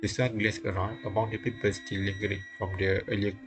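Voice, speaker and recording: A man streaming a visual novel, reading it aloud haltingly with casual comments. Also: lowish voice, level tone. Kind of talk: live read-aloud narration with casual comments. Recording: game music behind. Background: game music.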